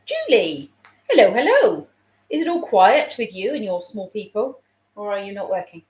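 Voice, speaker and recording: A woman's voice: mid-range voice, very animated, moderate pace, darkish tone. A chatty woman rambles on a home live stream, greeting viewers by name between stories. She sounds slightly snuffly, with a sore throat coming on. Her mid-range voice rings out in a roomy, echoey room. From England. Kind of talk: casual live stream chat. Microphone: phone.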